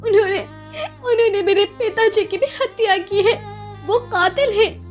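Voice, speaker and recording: A woman voicing an innocent, devoted young orphan girl in an animated moral story, emotional, pleading and halting. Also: very high voice, faint music behind. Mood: grieving, pleading. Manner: pleading, tearful, halting repetitions.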